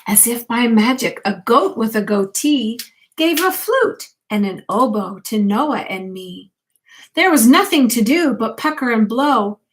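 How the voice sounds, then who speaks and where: An older woman, a grandmother, reading a picture book aloud at bedtime, expressively, with gentle questions to a child. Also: on the high side, expressive, unhurried, a little hiss or hum.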